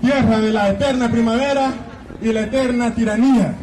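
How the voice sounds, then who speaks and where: A man's voice: very high-pitched, fairly even intonation, normal speed, darkish tone, low-quality recording. A man from Guatemala performs spoken-word poetry live on stage, in an impassioned, rhythmic, declamatory delivery. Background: music.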